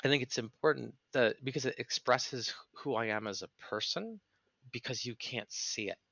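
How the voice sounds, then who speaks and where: A man's voice: mid-range voice, normal speed, gravelly, sharp tone, no echo, lo-fi sound. A man speaking as a podcast guest in reflective conversation, explaining things earnestly and analytically in long sentences.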